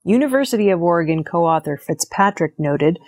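A woman's voice: lowish voice, animated, moderate pace, darkish tone. A woman reading scripted news narration as the presenter of a news program. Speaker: news reader.

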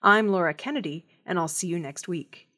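A woman reads scripted news as a presenter in a measured, formal, clearly enunciated way. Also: fairly low pitch, a bit bright.

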